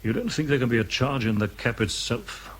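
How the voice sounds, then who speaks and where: An actor playing a kindly, avuncular officer in a radio drama, speaking with encouraging concern. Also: male voice, mid-range voice, big pitch swings, fairly quick, fairly bright tone, a little hiss or hum. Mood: businesslike, concerned. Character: kindly, solicitous male officer in charge.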